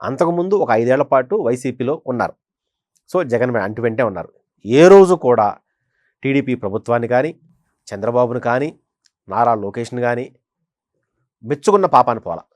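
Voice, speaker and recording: A man's voice: medium pitch, lively intonation, moderate pace, pauses often, a little mellow, no echo, clean studio sound. A man giving conversational political commentary as a journalist, emphatically repeating phrases for stress. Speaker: senior journalist, political analyst.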